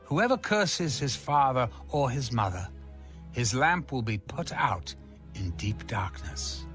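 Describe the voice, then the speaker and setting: A man reading scripture aloud in a solemn, measured, declamatory style with music behind him. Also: medium pitch, sing-song, a bit bright. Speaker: Bible reader.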